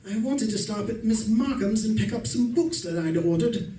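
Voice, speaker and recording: A man's voice: high voice, lively intonation, moderate pace, dark tone, slight background noise. A man playing a mild, put-upon middle-aged professor and husband in an old-time radio suspense play. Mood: meek, resigned. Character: meek, henpecked middle-aged professor husband, quietly resentful. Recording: roomy, distant mic.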